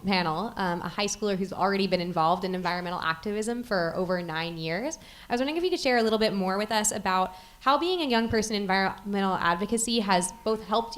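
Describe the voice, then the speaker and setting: A young woman moderating a panel, putting polite prepared questions to the speakers. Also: mid-range voice. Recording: faint background noise.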